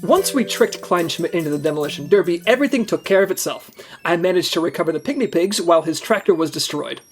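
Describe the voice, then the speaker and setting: An actor as an eager, high-voiced male agent. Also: animated, fast, natural voice. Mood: matter-of-fact. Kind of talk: comedic audio drama acting. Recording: faint music behind.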